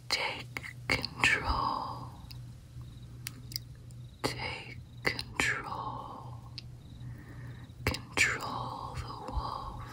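A young woman voicing a devoted, earnest female vampire girlfriend in an intimate, breathy ASMR roleplay whisper. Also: extremely high pitch, distant mic, pitched above the performer's own voice, full character voice. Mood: soothing, coaxing. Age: young adult. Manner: slow, deliberate, intimate, coaxing. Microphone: close ASMR microphone.

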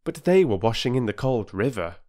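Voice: mid-range voice, sing-song, brisk, mellow, pitched above the performer's own voice, lightly acted. A narrator voicing an eager, chirpy young girl in a children's storybook. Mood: playful, bossy.

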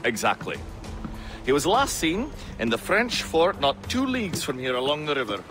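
A courteous, formal naval officer. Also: male voice, a little high, huge pitch swings, moderate pace. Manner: polite, formal old-fashioned speech. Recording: music behind.

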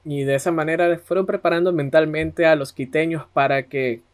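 A man's voice: on the high side, steady tone, normal speed, clear, resonant tone. A man narrating conversationally as a podcast host.

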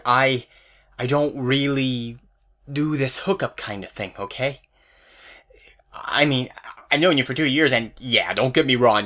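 A man's voice: mid-range voice, a bit bright, lightly acted. A man playing a nervous, kind-hearted teenage boy in a fan audio drama, stammering as he talks.

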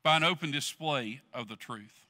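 A middle-aged man preaching a sermon from a church stage, earnestly swelling loud, then dropping low. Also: moderate pace, big-room sound. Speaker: pastor.

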